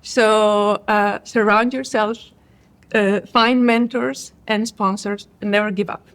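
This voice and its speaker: A woman's voice: mid-range voice, steady tone, takes it slowly. Reflective woman from Croatia. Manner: thoughtful, with many restarts and fillers. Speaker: cardiologist guest. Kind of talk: conference interview.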